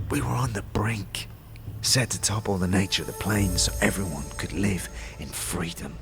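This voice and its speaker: An actor playing a cynical, scheming anarchist skull with a low, flinty rasp and rough slangy talk in a fantasy audio drama. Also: male voice. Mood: bitter.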